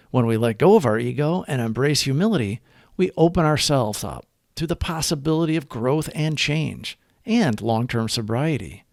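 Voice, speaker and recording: A man hosting a recovery podcast, reading daily reflections aloud in an earnest, measured way. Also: medium pitch, creaky, no echo, clean studio sound.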